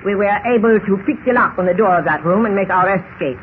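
An actor playing a menacing, imperious Egyptian villain who speaks formally and precisely in a 1940s radio style. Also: male voice, high voice, fast talker, lightly acted. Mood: smug. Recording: muffled, slightly noisy old radio recording.

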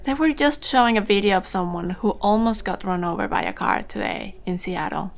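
A woman playing a warm, chatty older housewife in a naturalistic audio drama. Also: mid-range voice, animated, fairly quick, close to an everyday voice. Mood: chatty, mildly grumbling.

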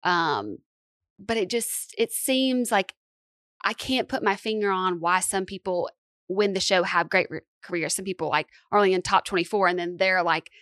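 A woman's voice: fairly low pitch, normal speed, fairly bright tone, no room sound, clean studio sound. A young woman hosting a podcast, asking long, rambling questions with eager enthusiasm. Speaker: podcast host. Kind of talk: casual interview chat.

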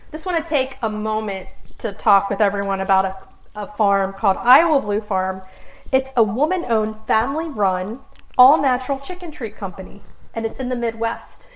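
High-voiced, playful woman co-hosting a podcast. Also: expressive, moderate pace, low-quality recording. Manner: exclamatory, playful, sings out little jingles. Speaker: podcast co-host. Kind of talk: casual chat.

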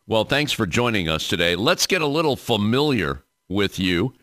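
A man's voice: fairly low pitch, moderate pace, no room sound, studio quality. A man hosting a union radio talk show, reading sponsor plugs and interviewing a guest in an announcer's manner. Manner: lively announcer delivery, reads sponsor plugs.

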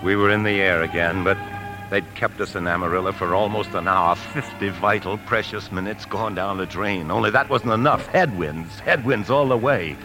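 A deep-voiced American husband played by a male actor from a 1950s radio play, edgy and desperate. He presses his case insistently and narrates in a confiding tone. It is an old broadcast with music in it. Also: moderate pace, natural voice, low-quality recording. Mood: tense recounting.

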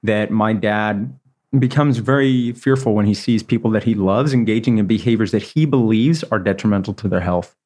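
A man's voice: on the low side, moderate pace, a little mellow. A man from Texas, an interview guest telling his story in an earnest, friendly, thoughtful way. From Texas, USA. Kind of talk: conversational interview.

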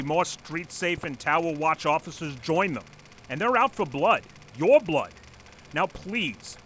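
An actor in an audio drama playing an earnest, stubborn former manager who lectures formally and pleads insistently. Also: male voice, high voice, sharp tone, a little hiss or hum, heavily acted. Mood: pleading, earnest. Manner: formal, insistent, speech-making. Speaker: presenter at a company meeting. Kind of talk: audio drama acting, satirical dystopian comedy.

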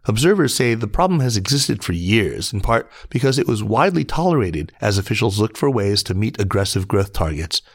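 A man reading scripted business news as a podcast host, in a measured, formal newsreader delivery. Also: on the low side, big pitch swings, breathy edge, no echo, clean studio sound. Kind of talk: scripted news narration, reading articles aloud.

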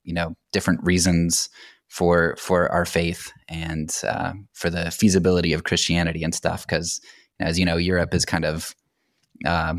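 Deep-voiced American man, casual podcast guest. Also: monotone, normal speed, no echo, studio quality. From United States. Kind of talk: casual conversational explanation with anecdotes.

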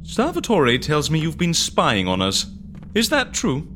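A man's voice: fairly high pitch, huge pitch swings, a little slow, background noise. An actor playing a breezy, playful, eccentric male interviewer in an audio drama. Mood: puzzled. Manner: chirpy, playful, theatrical.

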